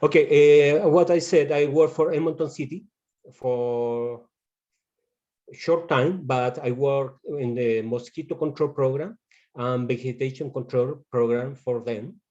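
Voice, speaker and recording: A man from Chile with a Chilean Spanish accent gives a webinar presentation as a pest control specialist, in halting non-native English. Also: medium pitch, fairly even intonation, darkish tone, dull audio.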